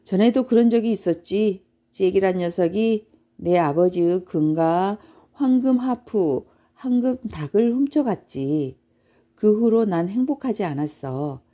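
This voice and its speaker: A woman plays an ancient toothless giant from a children's storybook, grumpy and hungry. The delivery is gruff and emphatic, in a mellow timbre lifted above her plain narration. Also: mid-range voice, animated, a little slow, lightly acted. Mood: wistful, grumbling.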